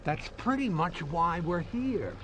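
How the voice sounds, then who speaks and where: A grumbling bubble villain from a cartoon. The voice is high and animated, dragging its sceptical complaints out slowly. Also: male voice, faint music behind.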